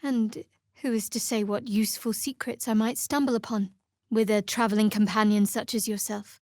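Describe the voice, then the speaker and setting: A woman voicing a guarded, sardonic young cleric of a dark goddess in a video game, with a dry, measured, clipped delivery. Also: mid-range voice, fairly even intonation, no echo, lightly acted. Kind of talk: video game voice acting, companion dialogue and battle barks.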